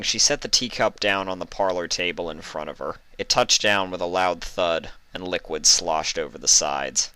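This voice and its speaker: A man reading stories as a host, with expressive narration into a close microphone. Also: low-pitched, no room sound. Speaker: story reader and channel host. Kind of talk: expressive scripted storybook narration with casual introduction.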